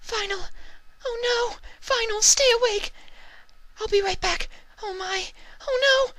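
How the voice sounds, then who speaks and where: A man voicing a timid, sweet young female pegasus pony with a hesitant, squeaky manner, in a story reading. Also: unhurried, vocal fry, sharp tone, full character voice. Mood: tearful panic.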